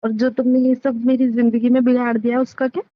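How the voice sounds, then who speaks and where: A woman acting as a betrayed young wife in a domestic audio drama speaks in a fairly high voice, curt and stubbornly insistent. The sound is dry and close, with a slightly muffled lo-fi finish. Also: level tone, brisk, no echo, lightly acted. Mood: hurt, insistent. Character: hurt, betrayed pregnant wife, stubborn and demanding. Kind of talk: naturalistic Hindi relationship audio drama, staged as a private conversation.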